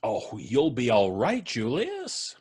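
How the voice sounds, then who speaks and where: An actor playing a calm, amused man who cheerfully expects to die soon, talking in an unhurried, cryptic way. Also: medium pitch, breathy edge, exaggerated voice. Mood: serene. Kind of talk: live radio drama reading.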